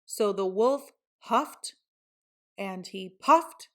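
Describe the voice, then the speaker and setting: A woman reading a picture book aloud to children in an expressive storytelling style. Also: mid-range voice, drawn-out words. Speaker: storybook reader.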